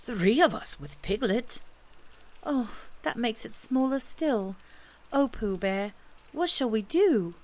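A woman's voice: on the high side, animated, takes it slowly, darkish tone, pitched above the performer's own voice. A woman voicing an eager, caring young boy in a children's storybook, with an exclamatory manner. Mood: dismayed.

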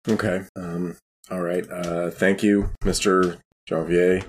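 A man playing a tabletop game, asking questions casually in a hesitant, halting way. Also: deep voice, little pitch movement, a little hiss or hum.

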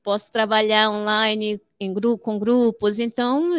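A woman's voice: mid-range voice, flat delivery, a little slow, smooth, no echo, studio quality. An older woman from Fortaleza, answering interview questions in an explanatory way, repeating phrases for emphasis. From Fortaleza, Ceará, northeastern Brazil. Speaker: podcast guest, psychologist.

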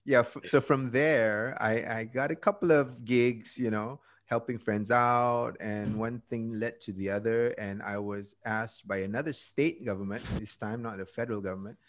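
A man's voice: mid-range voice, steady tone, moderate pace, hardly any pauses. A Malaysian man in his fifties, a podcast guest, telling his story in a casual way, laughing at himself and often trailing off. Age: 50s. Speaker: podcast guest, TED speaker. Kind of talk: conversational storytelling.

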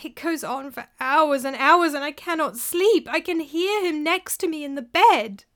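A woman's voice: lively intonation, normal speed, fairly bright tone. A woman voicing a terrified sobbing widow. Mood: insistent. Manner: pleading, sobbing, high and strained. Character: terrified young medieval widow, tearful and desperate.

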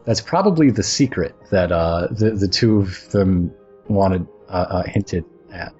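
A man running a tabletop game as game master, narrating casually and haltingly in a conversational way. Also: sits low, animated, warm tone, breathy edge, lo-fi sound. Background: music.

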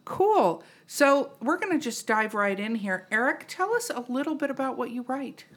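A woman's voice: high-pitched, big pitch swings, moderate pace. A woman hosting a casual podcast interview, irreverent and teasing, swearing freely. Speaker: podcast host.

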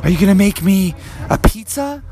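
A man's voice: sits high, animated, moderate pace, pitched above the performer's own voice. A man voicing an eager young boy frog, childlike and easily amazed, in a children's story. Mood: excited. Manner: childlike, wide-eyed. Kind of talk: improvised children's bedtime story.

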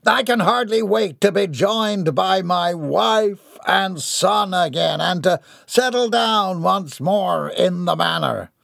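A man voicing a pompous, self-crowning male swindler tycoon in a read-aloud story, speaking in a grand, drawn-out, theatrical way. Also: sits high, vocal fry, fairly bright tone, no echo, studio quality, pitched above the performer's own voice, exaggerated voice. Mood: grandiose. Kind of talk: storybook character voice.

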